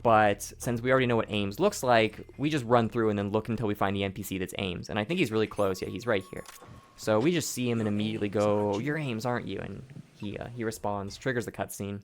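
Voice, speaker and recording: A man with a hoarse, sore throat giving casual commentary over his own speedrun, explaining each step, often restarting his sentences. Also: lowish voice, fast talker. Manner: explanatory, rambling, with frequent restarts and profanity. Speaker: gameplay commentator.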